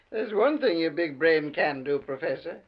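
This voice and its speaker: A man's voice: fairly high pitch, sing-song, measured pace. A man playing a kindly, chatty old night watchman with a folksy manner, in a 1940s radio drama. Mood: jocular. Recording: dull vintage radio drama recording.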